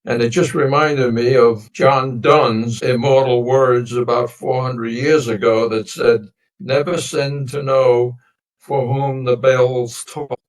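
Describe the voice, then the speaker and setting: An elderly man in his nineties tells family stories in a reflective, wandering way, pausing often as he goes. Sicilian dialect was his first language. His mid-pitched voice sits well back from the microphone. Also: level tone. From United States. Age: 90s. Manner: meandering, reflective storytelling with many pauses. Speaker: interview guest. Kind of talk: recounting family history. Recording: voice distant from the mic.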